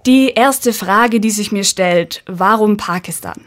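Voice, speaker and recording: A woman's voice: mid-range voice, takes it slowly. Woman hosting a student radio show. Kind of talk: radio interview. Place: radio studio.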